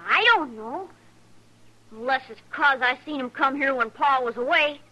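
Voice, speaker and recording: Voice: high-pitched, normal speed, bright, forceful tone, low-quality recording. An actor playing a defensive, frightened twelve-year-old ranch boy in an old radio western, protesting in folksy rural grammar. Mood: insistent accusing. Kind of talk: 1950s radio western acting.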